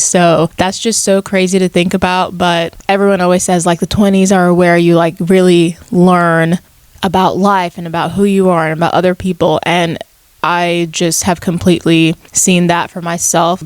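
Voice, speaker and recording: A woman's voice: fairly low pitch, smooth, no room sound. A woman in her mid-twenties hosting a podcast solo, talking in a casual, chatty, reflective monologue. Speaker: podcast host.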